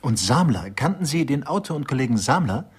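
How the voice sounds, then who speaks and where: An actor playing a bookish, earnest assistant detective in a radio crime drama, with a lecturing manner. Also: male voice, medium pitch, very animated, a little fast, breathy edge. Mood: inquiring. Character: pedantic male assistant detective, bookish criminology buff.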